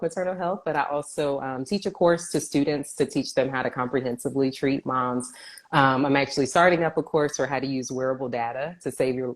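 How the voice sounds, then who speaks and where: A woman's voice: a bass voice, a little mellow. A woman physical therapist talking earnestly as a guest in a casual live video interview. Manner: earnest, explanatory. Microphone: video call.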